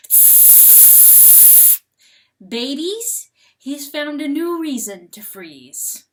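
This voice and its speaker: A woman reading a novel aloud as dramatic narration, with theatrical, deliberate phrasing. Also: a little high, rough recording. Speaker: audiobook reader.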